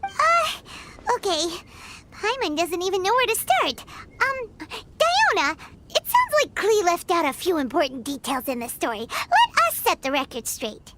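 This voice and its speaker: A woman playing a tiny, excitable, childlike fairy companion in a video game, chirpy and squeaky. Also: a little slow, heavy background noise, full character voice. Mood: flustered. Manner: squeaky, chirpy, refers to self by name. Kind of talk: video game character acting. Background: background music.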